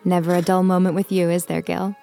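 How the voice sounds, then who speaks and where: An actress playing a young tarot-reading psychic who is confident and teasing. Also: female voice, on the low side, flat delivery, a little fast, creaky, warm tone, faint music behind. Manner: teasing, dry, self-assured. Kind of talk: comedic audio drama.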